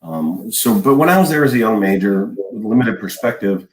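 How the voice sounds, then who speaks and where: A man in his forties, a former army logistics officer, explaining at length in a podcast interview with a measured manner and many restarts. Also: on the low side, lively intonation, roomy sound, low-quality recording. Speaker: guest, retired army logistics colonel.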